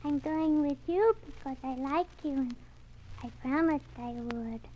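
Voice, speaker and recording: A woman's voice: sits high, unhurried. A woman playing a sweet, trusting, stubborn little girl in a 1940s radio drama, innocent and literal in manner. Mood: trusting, insistent. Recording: muffled vintage 1940s radio recording.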